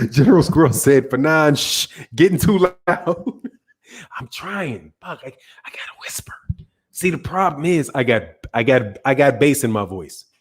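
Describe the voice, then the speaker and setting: A man's voice: a little high, huge pitch swings, measured pace, slightly rough. A man hosting a casual boxing livestream, reading viewer comments and arguing in loose, slangy banter that keeps trailing off and restarting. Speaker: boxing channel host.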